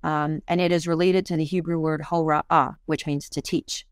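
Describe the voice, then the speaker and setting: A woman's voice: low-pitched, fairly even intonation, moderate pace, smooth, no room sound, studio quality. A woman in her fifties, a parenting coach and author, talking earnestly and reflectively in a podcast interview, with self-deprecating honesty.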